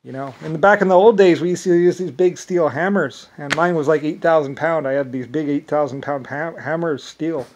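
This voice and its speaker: A man with an Irish accent talking in a rambling monologue, halting often with restarts and fillers. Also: on the high side, a little mellow, slight background noise. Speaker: self-described inventor.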